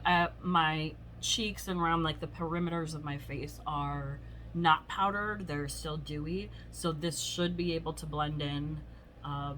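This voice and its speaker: A hoarse woman chatting casually through a beauty product review, rambling with lots of fillers. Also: deep voice, fairly bright tone, slight background noise, poor audio. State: hoarse from illness. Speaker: beauty vlogger. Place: home.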